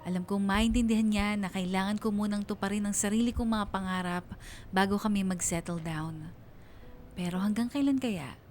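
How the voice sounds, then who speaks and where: A woman's voice: mid-range voice, normal speed, a little mellow, a little hiss or hum, lightly acted. A woman playing a young woman who narrates her own story of love and dreams in a radio drama. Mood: wistful.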